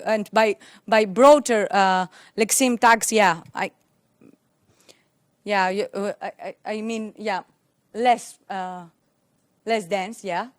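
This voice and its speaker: A young woman whose first language is Greek presents at a tech conference, holding a mic and searching for words with frequent fillers and restarts. Her voice sits a little high. The sound is dry and close, with little room in it. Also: no echo. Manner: hesitant, many fillers and restarts. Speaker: conference speaker. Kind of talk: technical conference talk. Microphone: handheld mic.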